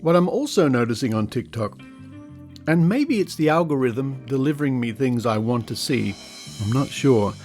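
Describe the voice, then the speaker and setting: A man's voice: medium pitch, a little mellow. A man with an Australian accent hosts a podcast in an informal, conversational style. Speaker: podcast host.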